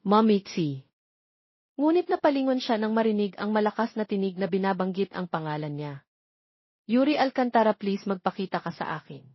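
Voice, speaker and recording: A woman's voice: mid-range voice, no room sound, highs cut off. A woman reading a scripted romance story as its narrator.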